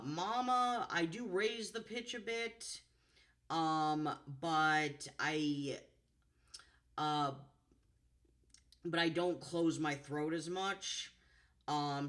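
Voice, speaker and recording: A woman with a low contralto voice reading a storybook and chatting afterwards about her voices, expressive and playful. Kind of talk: storybook narration and chat.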